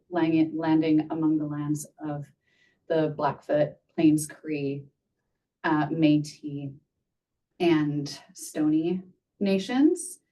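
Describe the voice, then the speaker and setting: A woman in her thirties from Spain, presenting a webinar in an earnest, measured way with frequent fillers. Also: deep voice, warm tone, recorded from a distance, rough recording.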